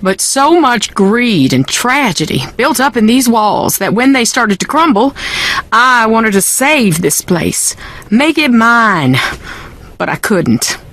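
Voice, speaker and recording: A woman's voice: on the high side, sing-song, slow, fairly bright tone, heavily acted. An actress playing a middle-aged, weary and bitter wealthy mother in a video game, with a folksy, wry, wounded manner. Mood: bitter, anguished. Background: faint music.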